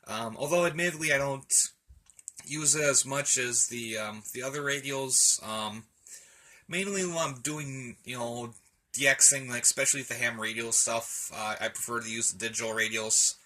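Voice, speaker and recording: A man with a very bright, forward voice talks casually to his camera at home. He rambles in a hesitant, folksy, conversational way, like a hobbyist giving a show-and-tell. His pitch sits in a middle range. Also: normal speed. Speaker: radio hobbyist vlogger. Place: indoors at home, room with radio shelves.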